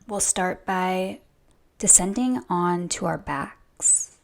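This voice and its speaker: A woman yoga instructor leading a gentle guided meditation in a soothing, hushed, unhurried way, with a soft voice that turns breathy at times. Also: on the low side. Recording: soft music behind the voice. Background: music.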